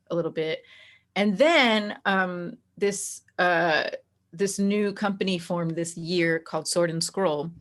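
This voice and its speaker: A woman talking casually as an interview guest about her work as a game master, telling it in an animated, conversational way. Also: fairly low pitch. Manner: animated storytelling that acts out quoted dialogue, frequent "like".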